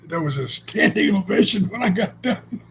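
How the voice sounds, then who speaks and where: A man's voice: high voice, lively intonation, moderate pace, gravelly, slight background noise. A man co-hosting a casual chat, telling stories in a blunt, salty, rambling way with frequent restarts. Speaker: co-host. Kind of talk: casual conversational storytelling.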